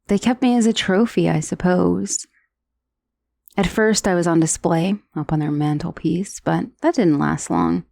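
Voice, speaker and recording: A woman's voice: fairly low pitch, creaky, a little mellow, dry recording. A woman playing an undead dullahan's severed head in an ASMR roleplay, conversational and dryly sardonic, menacing yet wistful, with sighs and hesitations. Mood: weary, wistful.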